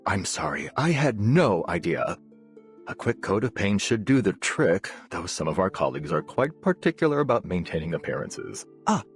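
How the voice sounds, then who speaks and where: An actor playing an eccentric, curious, officious nonhuman scientist in a video game, speaking in an animated, fussily precise, scholarly way. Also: male voice, sits low. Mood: musing, mild. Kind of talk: video game character voice lines.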